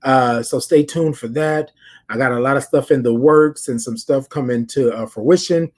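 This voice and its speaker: A man's voice: mid-range voice, moderate pace, darkish tone. An American man hosting a casual podcast, chatting and telling stories straight to his listeners, repeating phrases for emphasis. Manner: addresses listeners directly as an audience. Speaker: podcast host. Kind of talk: casual chatty check-in and storytelling.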